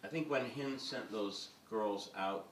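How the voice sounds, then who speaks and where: A man's voice: on the low side, a little slow, recorded from a distance, a little hiss or hum. A man, a film director on a panel, speaking in a rambling way full of hesitations. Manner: rambling, filled with uhs and ums. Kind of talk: panel discussion. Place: event hall with audience. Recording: roomy hall miked from afar.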